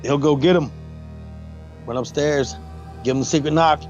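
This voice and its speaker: A man's voice: on the high side, normal speed, a little mellow. A man playing a tabletop role-playing game, talking casually and improvising, on a dull lo-fi recording with music behind.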